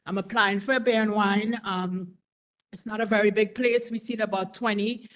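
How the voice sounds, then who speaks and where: A woman's voice: mid-range voice, steady tone, moderate pace, a bit bright. A woman in her forties, a business owner, speaking earnestly and conversationally at a community board meeting. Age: 40s. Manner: earnest, conversational, repeats herself. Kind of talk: public comment at a meeting.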